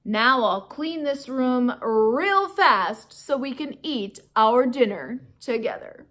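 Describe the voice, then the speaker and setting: Woman putting on a loud, jolly janitor man. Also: on the high side, lively intonation, slow pace. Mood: pleased. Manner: roaring, hearty, buddy-like. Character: jolly, booming, friendly male school janitor.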